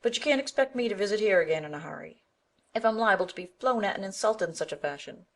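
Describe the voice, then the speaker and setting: A woman's voice: medium pitch, expressive, fast. A woman voicing a stout, outspoken older village woman who speaks emphatically and indignantly. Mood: huffy.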